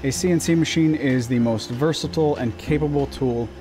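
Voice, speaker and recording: A man's voice: a little high, fairly quick. A man in his thirties narrating his woodworking project in a plain, practical way, with small restarts and fillers. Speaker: woodworker explaining his build. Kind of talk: voice-over narration of a workshop project.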